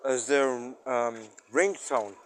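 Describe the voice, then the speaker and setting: Halting, rambling man over faint background noise. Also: mid-range voice. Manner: halting, searching for words, with emphatic exclamations. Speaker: musician recording a personal audio log. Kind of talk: casual rambling spoken-thoughts monologue.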